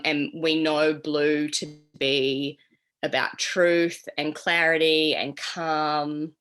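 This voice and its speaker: Chatty low-pitched Kiwi woman. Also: a bit bright. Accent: New Zealand. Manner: chatty, rambling with many fillers. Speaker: tarot teacher. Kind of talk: casual online class.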